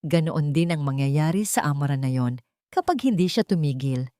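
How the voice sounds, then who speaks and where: A woman's voice: low voice, expressive, normal speed, dark tone, smooth, no room sound, clean studio sound. The spoiled, scheming daughter of a crime family in a radio serial, sneering coldly and smugly at her victims, as a woman narrator plays her. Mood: menacing contempt. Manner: mocking, cold, smug. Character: scheming, contemptuous young female villain, rich heiress.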